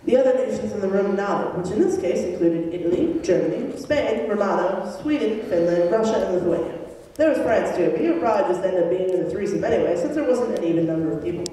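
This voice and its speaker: A woman's voice: medium pitch, lively intonation, fast, warm tone. A woman narrating an amateur dramatic reading of comedy fan fiction. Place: large echoey room, school club event. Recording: echoey hall from far off, hissy.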